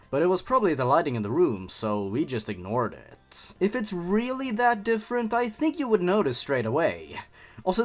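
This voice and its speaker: Male storyteller, fairly high voice, wildly expressive, theatrical with a sarcastic, mocking edge. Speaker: host reading and mocking a fan horror story. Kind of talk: dramatic story reading with mocking asides.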